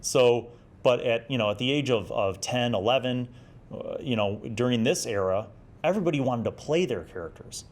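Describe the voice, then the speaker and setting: A man's voice: mid-range voice, expressive, normal speed. A man in his forties hosting a video review, talking in a conversational, explanatory way with emphasis and sometimes reading passages aloud. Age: 40s. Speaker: video host reviewing old gaming magazines. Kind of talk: casual commentary with read-aloud passages.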